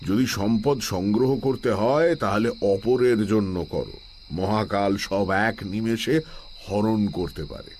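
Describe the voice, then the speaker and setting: An actor playing a wise, calm, shrewd village holy man in an audio drama, speaking in a measured, philosophical way. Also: fairly low pitch, a little hiss or hum. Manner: measured, philosophical, chanting Sanskrit verses slowly. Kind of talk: Bengali audio-drama acting. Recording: steady night insect ambience behind.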